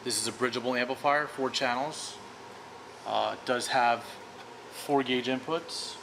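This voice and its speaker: A man's voice: mid-range voice, measured pace, vocal fry, a bit bright, a little hiss or hum, rough recording. A man giving an informal technical product demonstration. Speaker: product demonstrator for an audio brand.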